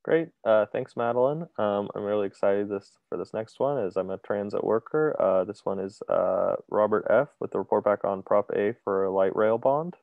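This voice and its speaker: Male moderator, gravelly and lowish, dry phone-like audio. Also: normal speed, few pauses, dry recording. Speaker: meeting moderator. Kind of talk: moderating a meeting.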